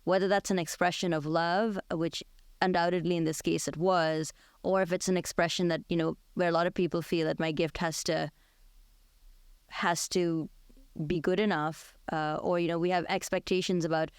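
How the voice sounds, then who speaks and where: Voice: mid-range voice, little pitch movement, moderate pace, smooth, dry recording, studio quality. A radio host who leads a thoughtful, conversational discussion.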